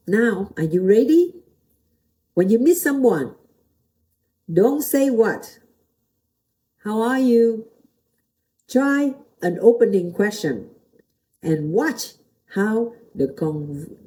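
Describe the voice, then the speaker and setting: A woman's voice: on the low side, dark tone, rough recording. A middle-aged woman from Vietnam with a Vietnamese accent gives a motivational talk in simple non-native English, using short repeated phrases and questions to the listener. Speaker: host, motivational teacher and pastor.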